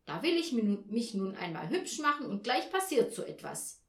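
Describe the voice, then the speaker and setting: Storybook mother, acted by a female reader: short-tempered, emphatic, complaining, animated intonation, room echo. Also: fairly high pitch, moderate pace. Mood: indignant. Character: irritable, short-tempered mother, exasperated and snappish.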